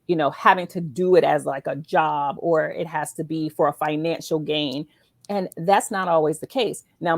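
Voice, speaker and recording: A woman's voice: on the low side, gravelly, no echo. A woman hosting a faith-based interview, talking earnestly and encouragingly, with emphatic check-ins like a teacher making points. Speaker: show host interviewing an author.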